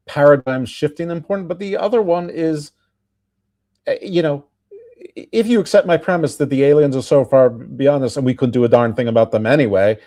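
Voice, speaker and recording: A man's voice: on the high side, a little fast, mellow, no echo, clean studio sound. A man from the United States hosting a podcast discussion in an articulate, discursive, reasoned way. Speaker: podcast host. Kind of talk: conversational discussion.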